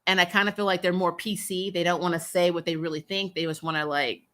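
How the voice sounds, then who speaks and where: A woman's voice: on the low side, steady tone, quick pace. A woman hosting a TV recap, chatting casually and bluntly with viewers, unfiltered and free with swearing. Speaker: host of a TV recap show, reviewer. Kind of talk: casual livestream chat answering viewer questions.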